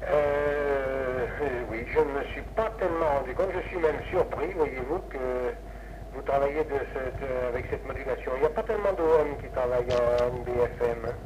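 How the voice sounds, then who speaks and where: A man's voice: medium pitch, normal speed, lo-fi sound. Noisy old ham radio recording, rambling Frenchman. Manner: rambling, hesitant, repeats himself. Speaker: amateur radio operator. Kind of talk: casual ham radio contact.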